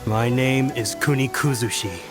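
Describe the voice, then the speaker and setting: A man playing a dignified samurai in a video game, solemnly narrating his memories in deliberate, formal words. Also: mid-range voice, over music, lightly acted. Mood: solemn, haunted.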